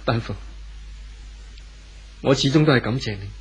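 An actor playing a gentle, forgiving wealthy husband in a 1970s radio drama, with a Hong Kong Cantonese accent. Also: male voice, medium pitch, normal speed, slightly rough. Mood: sad, restrained. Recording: old radio recording, faint noise.

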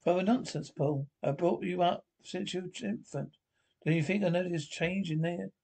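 A man acts out a fretful scientist father in an old-style radio horror play. He is protective and speaks plainly and haltingly, in a creaky voice pitched a little high. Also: a little mellow, full character voice. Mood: reassuring. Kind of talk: amateur reading of 1940s radio horror script.

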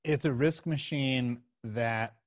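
A man's voice: mid-range voice, slow pace, dry recording. A middle-aged man hosting a podcast in casual conversation, speaking into a close microphone. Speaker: podcast host. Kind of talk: casual chat. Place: small room with sofa, indoors. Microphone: desk microphone close up, headset.

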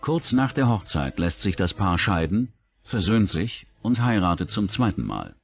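Man, low-pitched, expressive intonation, scripted true-crime narration, documentary narrator. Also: normal speed, muffled recording.

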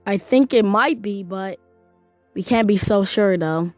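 A woman's voice: medium pitch, unhurried, darkish tone, no echo, soft background music, natural voice. A woman voice acting a cautious, frightened spaceship survivor in an amateur game roleplay. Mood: wary, grave.

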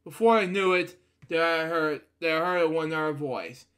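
A man reading a bedtime storybook aloud in a halting way, often breaking off to comment. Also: fairly high pitch, a bit bright, smooth. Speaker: storybook reader. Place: indoor room at a computer.

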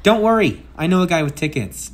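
A man's voice: sits high, big pitch swings, a little fast. A man playing a brash, sarcastic masked antihero with a kind streak, in a theatrical comic book dub. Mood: excited, playful.

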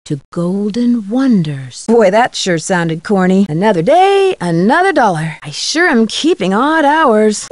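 A woman's voice: medium pitch, big pitch swings, measured pace, hardly any pauses, no echo. An actress playing a curious, upbeat young woman detective in a video game, with an inquisitive, scripted delivery. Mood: slow, solemn reading, then wry, sleepy. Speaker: game protagonist.

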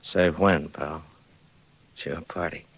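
A man's voice: very deep voice, steady tone, slow pace, slightly rough, exaggerated voice. An actor playing a cold, taunting gunman with an American accent and slangy tough talk, in 1940s radio style. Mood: sadistic taunting. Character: menacing bald gunman, cold small-time killer. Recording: dry 1940s radio drama recording.

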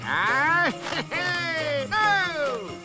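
Folksy meek old man, cartoon style, high and bouncy pitch. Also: very animated, extremely slow, over loud music, heavily acted. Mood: pleased. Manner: folksy, hesitant, deferential. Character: meek, simple, good-natured old peasant man. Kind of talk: puppet cartoon voice acting.

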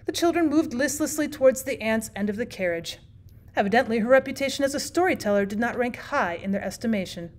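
A woman's voice: a little high, expressive, quick pace. A woman reading aloud like a teacher, in scripted audiobook narration of a classic short story.

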